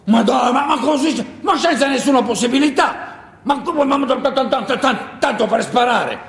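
An actor playing a frightened village miller who stammers and pleads anxiously in a theatrical stage style. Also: male voice, extremely high pitch, lively intonation, moderate pace, vocal fry, a bit bright, pitched above the performer's own voice, exaggerated voice. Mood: tearful desperation. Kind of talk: theatrical stage monologue acting. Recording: echoey hall with long reverb.

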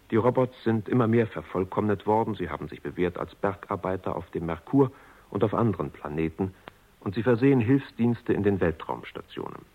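A man with a husky voice giving measured, factual scripted narration for a radio drama. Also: low voice. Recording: narrow-band vintage radio recording.

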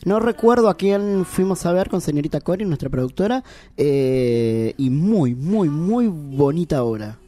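A man's voice: on the high side, expressive, unhurried, warm tone. A middle-aged man co-hosting a radio show, chatty and playful, laughing often. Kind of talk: casual radio chat.

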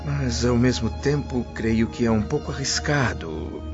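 An actor with a Brazilian accent playing a humble, earnest freed Roman slave in a radio drama. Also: male voice, mid-range voice, lively intonation, a little slow. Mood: moved, cautious. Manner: formal, earnest. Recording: dull lo-fi audio under loud music. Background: music.

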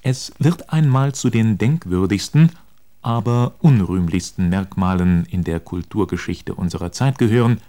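A man reading scripted quotations for a radio feature in a formal, deliberate manner. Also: lowish voice, warm tone.

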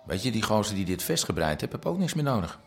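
An actor playing a falsely friendly drug pusher in a radio drama, casual and slyly threatening. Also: male voice, fairly low pitch, big pitch swings, fast talker, faint music behind. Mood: quietly threatening. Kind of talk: naturalistic Dutch radio drama acting.

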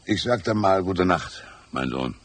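A man playing a fatherly small-town sheriff in a radio drama, with a gravelly, husky voice and a slow, ponderous delivery. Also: low voice, lively intonation, no echo. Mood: calm, musing. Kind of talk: noir radio drama acting.

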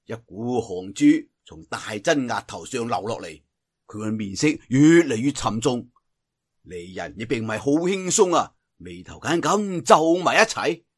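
A man's voice: medium pitch, lively intonation, measured pace, slightly rough. A man with a Cantonese accent telling a martial arts story theatrically, drawing out phrases for emphasis. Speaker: audiobook storyteller.

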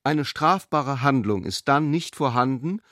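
A man's voice: mid-range voice, expressive, a little slow, a bit bright, no room sound, clean studio sound. A man voicing a pompous elderly jurist father. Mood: pedantic, insistent. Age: older. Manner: formal, pompous, long-winded. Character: pedantic, self-important elderly father, a jurist, dictating a letter. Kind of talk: letter read aloud in radio drama.

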